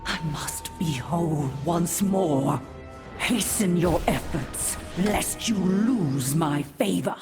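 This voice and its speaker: Game villain: imperious dark queen, very deep female voice, unhurried, ominous. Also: music in the background. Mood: cold, impatient. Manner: commanding, ominous. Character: imperious ancient female dark mistress, blood-hungry. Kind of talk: fantasy game voice acting.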